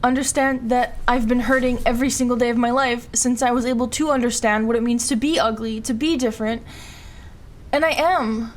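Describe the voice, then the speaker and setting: A high-pitched young woman voiced by an actress in an audio drama, wounded and defiant. She speaks with raw, pleading emotion as a self-loathing outcast daughter, over faint background noise. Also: fairly even intonation, brisk, close to an everyday voice. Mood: hurt, despairing. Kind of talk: naturalistic audio roleplay acting.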